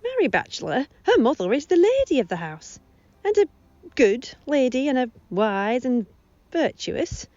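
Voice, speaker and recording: An actress playing a bustling, devoted old nurse in an amateur Shakespeare audio drama, recorded at home on a phone. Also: female voice, high voice, very animated, moderate pace, warm tone, dry recording, dull audio. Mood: chatty, proud.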